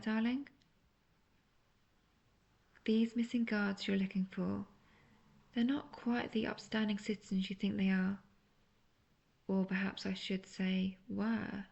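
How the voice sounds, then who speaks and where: Woman voicing a coaxing, gravelly-edged seductive witch. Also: medium pitch, dull audio. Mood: calm, persuasive. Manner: coaxing, teasing, slow breathy near-whispers mixed with soft talk. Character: seductive, manipulative, obsessive imprisoned witch, sweetly menacing. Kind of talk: intimate scripted audio roleplay, addressing the listener.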